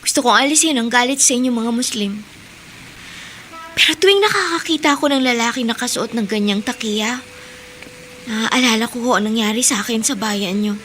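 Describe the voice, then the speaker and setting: A woman's voice: on the high side, moderate pace, fairly bright tone, slight background noise, natural voice. An actress playing a young woman in a radio drama, respectful but hurt and guarded.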